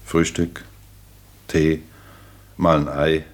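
Young gentleman lodger, twenties, radio drama. Extremely low voice, reserved, courteous, noncommittal. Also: little pitch movement, drawn-out words, exaggerated voice. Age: 20s. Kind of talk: German radio play acting.

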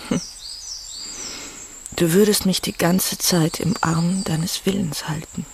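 Hesitant wife, creaky voice. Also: female voice, lowish voice, steady tone, slow, a little hiss or hum. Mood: probing, subdued. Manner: hesitant, quiet questioning. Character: unhappy, searching young wife, subdued and questioning. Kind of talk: German radio drama acting.

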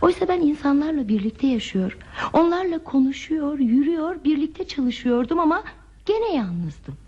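An actress playing a lonely, reflective wanderer in a radio play, speaking in a measured, wistful, philosophical manner. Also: female voice, high-pitched, big pitch swings, warm tone. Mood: melancholy, reflective. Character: lonely, reflective wandering woman without belongings. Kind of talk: Turkish radio theater acting. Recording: dull, muffled radio drama recording.

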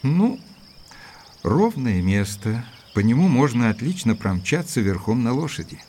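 An actor playing a reserved, sceptical gentleman investigator who tells his story in a measured way in an audio drama. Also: medium pitch, sing-song, a little hiss or hum. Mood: curious. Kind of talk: dramatised first-person narration and dialogue.

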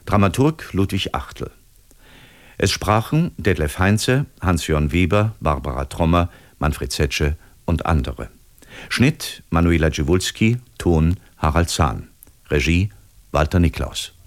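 A male radio announcer with a low voice in a dry, close recording. He reads the closing credits of a radio drama as a formal scripted announcement. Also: expressive, takes it slowly, no echo.

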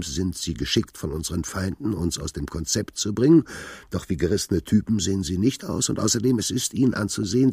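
An actor playing a brooding, uneasy man in a literary radio play, with a gravelly, husky voice and a ruminating, intense manner. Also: sits low, dark tone, no room sound, clean studio sound, full character voice. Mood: agitated, suspicious. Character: brooding, uneasy male storyteller in revolutionary times.

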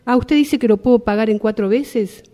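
A harried Argentine woman, acted out in a radio theatre exercise who pleads and argues insistently. Her voice is fairly high and soft-edged, and she repeats herself as she presses her case. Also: moderate pace, big-room sound, natural voice. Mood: indignant, upset. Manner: insistent, repetitive. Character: harried, pleading, indignant woman queuing over a gas bill.

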